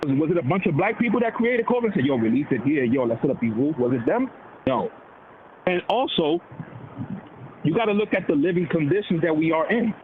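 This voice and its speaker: Animated man on a tinny, noisy line. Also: a little high, a little fast. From Dominican heritage. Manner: animated, slangy, puts on quoted voices. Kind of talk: casual political chat. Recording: tinny phone-like line with noise.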